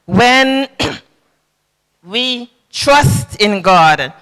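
A woman's voice: medium pitch, animated, very slow, fairly bright tone. A woman in her fifties preaching a sermon at a podium into a handheld mic, emphatic and preacherly with sing-song cadences. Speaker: government official preaching a sermon. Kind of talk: sermon at a public service church service. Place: large indoor hall at a podium. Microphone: handheld microphone. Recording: long reverb in a large hall.

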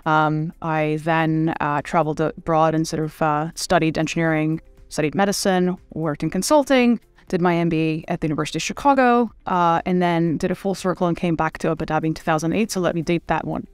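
A woman's voice: deep voice, fairly even intonation, a little fast, hardly any pauses, smooth, no echo. Woman, thirties, finance guest, thoughtful, hesitant fillers, dry close mic, faint music. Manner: thoughtful, many fillers and restarts. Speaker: private equity managing director, guest. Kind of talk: conversational interview. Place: studio set with city backdrop. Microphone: desk microphone.

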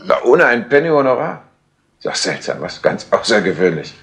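An actor playing a grateful, flustered British colonel in a 1960s German crime radio play, hesitant and wordy. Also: male voice, mid-range voice, big pitch swings, a little fast, gravelly, fairly bright tone. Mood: busy, bemused. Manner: hesitant, wordy, with stammered fillers. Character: male British colonel, grateful, flustered senior intelligence official. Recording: noisy old 1960s tape recording.

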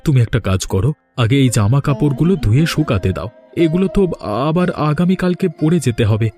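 A man's voice: medium pitch, expressive, fairly quick, dark tone, faint music behind, close to an everyday voice. A man voicing a humble, hardworking village husband in a cartoon, speaking in an earnest, explanatory way. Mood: weary, reporting. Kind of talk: cartoon dialogue.

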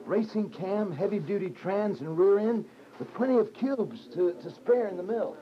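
An actor playing a boastful, slangy car enthusiast in a radio drama. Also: male voice, high-pitched, animated, normal speed. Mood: bragging. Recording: muffled radio drama, crowd chatter behind.

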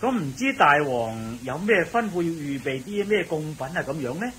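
An actor playing an elderly, genial palace cook in a radio drama, chatty and deferential with hesitant interjections. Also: male voice, on the high side, sing-song, moderate pace, a bit bright. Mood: deferential, eager. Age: older. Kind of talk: Cantonese radio drama acting. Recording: faintly noisy radio drama recording.

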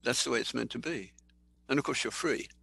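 An older man giving a sermon in a thoughtful, conversational way, slowing down to read scripture aloud. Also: mid-range voice, vocal fry, pushed and bright, breathy edge, no echo. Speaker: preacher. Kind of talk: sermon teaching.

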